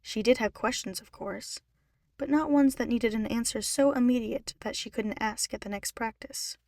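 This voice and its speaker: A woman's voice: a little high, normal speed, darkish tone, no echo. Woman narrating fiction, close and dry. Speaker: podfic narrator. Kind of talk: scripted story narration.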